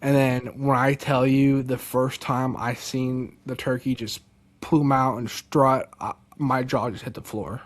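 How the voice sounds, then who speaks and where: A young man from Delaware, a podcast guest, telling a story casually and excitedly, with lots of "like" and "dude". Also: medium pitch, measured pace. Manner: animated, many fillers like "like" and "dude".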